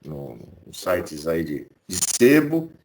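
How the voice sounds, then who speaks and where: A man's voice: sits low, sing-song, slow, a little hiss or hum, rough recording. A man with a Brazilian Portuguese accent giving casual political commentary as co-host, indignant and sarcastic, swearing freely.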